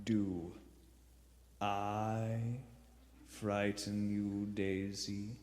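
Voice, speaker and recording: Creepy gentleman lodger played by a man, radio play, measured and courteous, echoing stage with music. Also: fairly low pitch, little pitch movement. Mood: quiet menace. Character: eerie, genteel male lodger, secretly menacing killer. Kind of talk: live 1940s-style radio play acting. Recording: echoey theater hall, music underneath.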